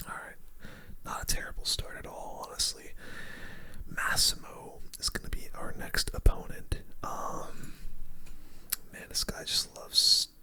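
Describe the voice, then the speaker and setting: A person giving ASMR gameplay commentary in a breathy whisper, using casual gamer slang. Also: bright, forceful tone. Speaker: gaming video host.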